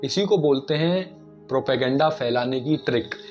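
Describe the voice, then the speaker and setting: A man's voice: mid-range voice, normal speed, darkish tone. A man giving casual, argumentative explanatory commentary, putting rhetorical questions to his listeners. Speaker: video commentator. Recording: dull lo-fi audio, music behind. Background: background music.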